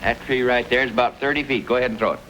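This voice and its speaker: An actor playing a proud, kindly frontier bladesmith with an assured, measured manner in an old-time western radio drama. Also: male voice, mid-range voice, steady tone, pushed and bright, slight background noise, lightly acted. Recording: old radio recording with music.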